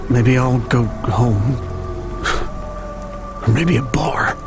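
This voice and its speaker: A man playing a dejected, hesitant character in a video game, speaking slowly. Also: mid-range voice, over loud music.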